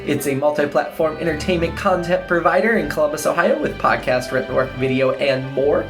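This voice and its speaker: A woman's voice: sits low, a little fast. A woman hosting a podcast, reading an introduction and promo. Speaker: podcast host. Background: guitar music.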